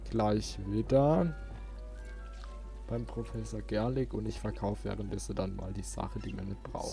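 A man's voice: on the low side, creaky, mellow. A man giving casual gameplay commentary as a let's play host, rambling and thinking aloud. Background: game music.

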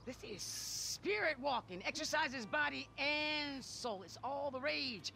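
A woman's voice: sits high, expressive, takes it slowly, vocal fry, sharp tone, a little hiss or hum, exaggerated voice. A woman playing a preachy, peppy middle-aged fitness faddist in a comic video game scene.

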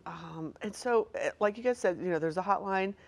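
A woman's voice: mid-range voice, moderate pace, vocal fry. A woman in her thirties hosting a studio talk show in a casual, conversational way. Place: TV studio, seated indoors.

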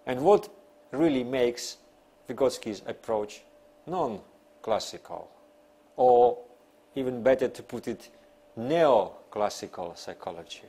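A man in his fifties, a non-native English speaker, giving an academic lecture in deliberate, emphatic phrases with pauses. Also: medium pitch, lively intonation, dark tone, breathy edge. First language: not English. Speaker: university lecturer. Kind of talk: academic lecture, partly read from notes. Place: small classroom, seated at a desk.

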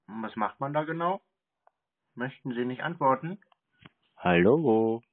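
Deadpan man on a phone line. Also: mid-range voice, a little slow, dry recording. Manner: deadpan, dryly provocative. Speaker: scam-baiter taking phone calls. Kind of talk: casual, teasing phone chat. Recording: narrow phone-band call audio.

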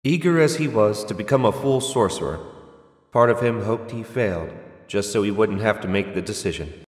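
A man's voice: on the low side, moderate pace, echoey room, big-room sound. A man reading scripted fantasy audiobook narration as the storyteller.

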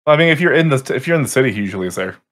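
A man's voice: mid-range voice, expressive, rapid-fire, a bit bright. Man recapping a tabletop game. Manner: casual, rambling recap with self-corrections. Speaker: game master.

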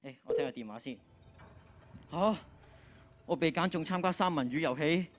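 A man's voice: a little high, moderate pace, over music, close to an everyday voice. A teenage boy playing an earnest, forgiving old schoolmate in a radio drama on stage. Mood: surprised. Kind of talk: Cantonese radio drama acting.

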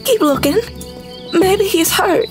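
A woman's voice: very high voice, takes it slowly, music in the background. A woman playing a worried farm wife and mother in an audio drama.

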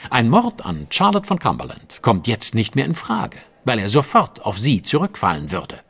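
An actor playing an astute, self-assured gentleman detective in an audio drama, precise and dryly teasing. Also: medium pitch, wildly swooping pitch, normal speed, husky, exaggerated voice. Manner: precise, explanatory, dry. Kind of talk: German audio drama dialogue.

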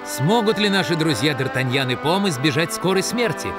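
A man's voice: on the high side, animated, brisk. A man giving dramatic scripted cartoon narration in a theatrical, suspenseful manner. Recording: loud music under the voice.

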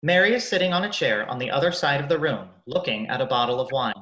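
A man's voice: medium pitch, lively intonation, fast talker, pushed and bright. A young man reading stage directions as the narrator of a radio play, in scripted narration. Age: young adult. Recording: echoey room.

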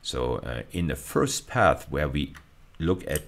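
A man's voice: very deep voice, very animated, takes it slowly, gravelly. A middle-aged man giving an explanatory recorded lecture, working methodically step by step and repeating key phrases for emphasis. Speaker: university lecturer.

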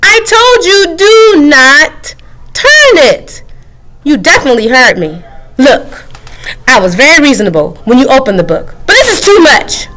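Kobieta udająca spanikowanego, błagającego potwora z książki. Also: female voice, pushed and bright, full character voice. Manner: shrill, frantic, pleading. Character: frantic pleading blue book monster, male.

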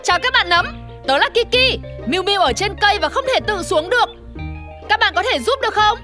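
A woman's voice: extremely high pitch, lively intonation, brisk, music in the background. A woman voicing an earnest, eager young boy panda in a children's cartoon, exclamatory and childlike.

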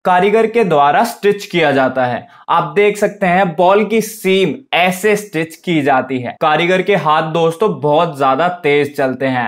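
A young man, hosting and narrating a tour-style vlog, addressing viewers directly like friends. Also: fairly high pitch. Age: young adult. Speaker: vlog host.

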